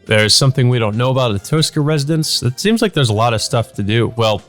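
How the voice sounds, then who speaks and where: A man's voice: mid-range voice, animated, fast talker, a bit bright, faint music behind. A man hosting a let's play, reading a visual novel aloud and adding casual, musing asides to himself.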